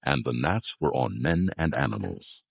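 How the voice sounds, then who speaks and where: Very deep, gravelly male narrator. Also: very animated, no room sound. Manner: solemn, measured. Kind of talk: scripted narration of dramatized scripture.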